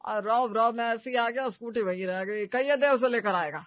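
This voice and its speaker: A man's voice: very high-pitched, fairly quick, no room sound, lo-fi sound. A man playing a boastful, short-tempered middle-aged father in a comic story drama, pompous and scolding. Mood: grumbling. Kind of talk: Hindi comedy story dramatization.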